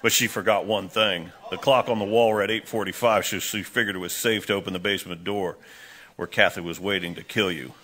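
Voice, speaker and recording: A man's voice: lowish voice, steady tone, fairly quick, bright, forceful tone. A man playing a plain-spoken police detective in a 1940s-style radio drama, explaining things in a matter-of-fact way.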